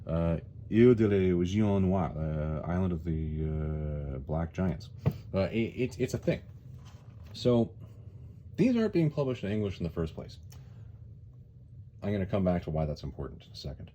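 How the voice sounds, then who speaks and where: A man's voice: low-pitched, big pitch swings, normal speed, pauses often, dark tone, highs cut off, slight background noise. A man giving a casual, rambling comic book review in a wry, hesitant way, often repeating his words.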